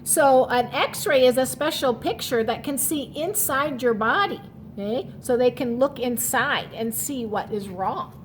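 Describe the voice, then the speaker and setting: A woman's voice: a little high, slight background noise. A woman reading a children's storybook aloud like a teacher, adding short explanatory asides. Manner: instructive, checks understanding with short asides.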